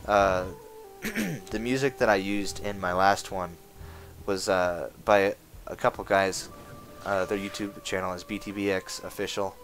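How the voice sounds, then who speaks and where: A man talking casually over his gameplay footage, rambling with lots of fillers, his voice going hoarse. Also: low-pitched, measured pace, a bit bright. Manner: rambling, full of uh fillers, chatty. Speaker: gaming video maker. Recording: game music playing underneath. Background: game music.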